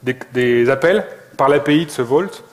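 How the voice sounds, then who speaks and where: A man's voice: mid-range voice, normal speed. A man talking casually as a conference co-speaker, often repeating words as he answers questions. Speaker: conference co-speaker, software developer. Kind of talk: informal conference Q&A.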